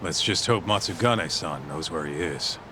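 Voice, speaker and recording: A man's voice: rumbling low, normal speed, noisy background. An actor playing a brash, streetwise tough guy in a video game, blunt and colloquial. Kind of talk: video game cutscene acting.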